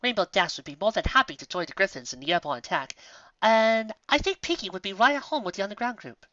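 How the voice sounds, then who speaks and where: A high, refined female pony from a fantasy story, voiced by a male reader. The speech is genteel and diplomatic, softer in force yet bright, with careful phrasing. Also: expressive, fast talker, no room sound, lo-fi sound. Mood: poised, persuasive. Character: refined lady pony commander, polite and diplomatic.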